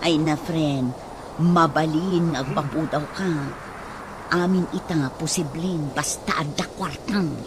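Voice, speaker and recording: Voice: mid-range voice, unhurried, lightly acted. An actor playing a chatty, coaxing friend with an Ilocano accent in a radio comedy drama. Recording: background noise with bird ambience.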